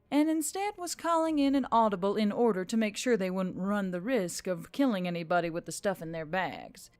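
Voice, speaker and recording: A woman's voice: mid-range voice, dry recording. A woman reading a scripted story as a narrator. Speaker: audiobook-style narrator.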